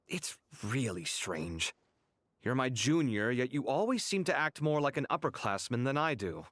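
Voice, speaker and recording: An exasperated, expressive young scholar. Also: male voice, medium pitch, no echo. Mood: reflective. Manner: animated, exasperated, quick to protest. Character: young male scholar, expressive, easily flustered. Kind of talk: game cutscene voice acting.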